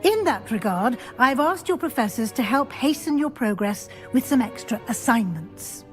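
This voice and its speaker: Actress as brisk lady professor at wizard school, highish voice, formal, game cutscene w music behind. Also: lively intonation, lightly acted. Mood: brisk, probing. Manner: formal, crisp, matter-of-fact. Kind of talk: scripted video game acting.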